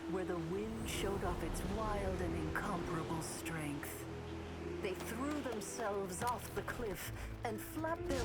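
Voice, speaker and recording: A woman playing a solemn, measured storyteller reading an old fable in a video game. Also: medium pitch, lightly acted.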